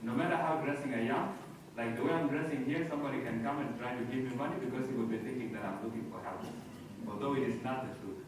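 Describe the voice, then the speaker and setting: A man with a South Sudanese accent telling a personal story as a speech, in deliberate short phrases. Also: mid-range voice. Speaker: conference speaker. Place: large echoey hall. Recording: very echoey hall, distant mic, noisy.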